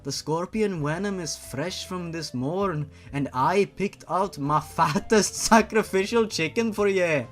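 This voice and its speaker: A man's voice: high-pitched, a little slow, few pauses, pitched above the performer's own voice. A man voicing an ageless witchy shopkeeper woman with folksy, archaic phrasing and a mock Scottish or Irish accent, in a comic story reading. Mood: folksy, bargaining.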